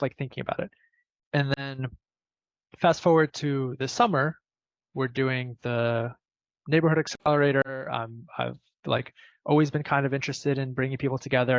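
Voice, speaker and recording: Gravelly man casually telling a story. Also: mid-range voice, no room sound. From Ukraine. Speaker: program participant telling his story. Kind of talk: casual personal storytelling on a group call. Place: video call. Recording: dull, close, dry video-call audio.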